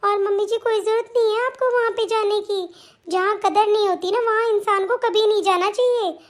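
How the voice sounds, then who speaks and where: A woman's voice: extremely high pitch, fairly even intonation, brisk. A woman voicing a sweet, dutiful young daughter-in-law in a melodramatic family cartoon, earnest and deferential. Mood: concerned, earnest.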